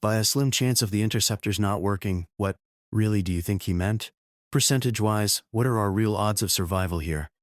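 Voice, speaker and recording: Man acting as a deep-voiced, sober news anchor. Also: fairly quick, dry recording, studio quality, natural voice. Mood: questioning. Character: sober male TV news co-anchor facing catastrophe. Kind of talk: dramatic live news broadcast acting.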